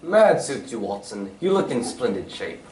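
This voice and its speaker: A man playing a brisk, self-assured English detective with an urbane, lecturing manner in a stage reading of a radio drama. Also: medium pitch, big pitch swings, a little mellow, natural voice. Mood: genial, assured. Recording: distant roomy stage recording, faint noise.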